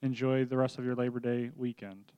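Man, pastor, casual announcements, filler ums, smooth voice, long hall reverb. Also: mid-range voice, flat delivery, a little fast, muffled recording. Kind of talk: church announcements.

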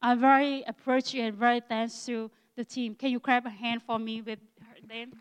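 A woman in her sixties from Thailand with an Akha Thai accent, speaking to a church in halting English and often searching for words. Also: fairly high pitch, level tone, smooth, long reverb tail, muffled recording. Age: 60s. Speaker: guest missionary speaker. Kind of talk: church talk with thanks.

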